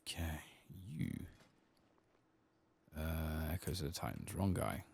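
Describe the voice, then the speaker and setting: Very deep, soft-spoken gravelly man. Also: expressive, takes it slowly, slight background noise. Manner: casual, rambling, muttering to himself. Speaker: streamer playing a video game. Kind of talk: soft-spoken and whispered ASMR gaming commentary.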